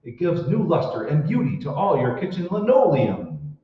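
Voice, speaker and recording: A man playing a grandiose product pitchman giving a rallying stump speech in an old-time radio show. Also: big pitch swings, moderate pace. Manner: declamatory, rallying. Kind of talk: 1940s radio advertising oratory. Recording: echoey hall, distant mic, dull sound.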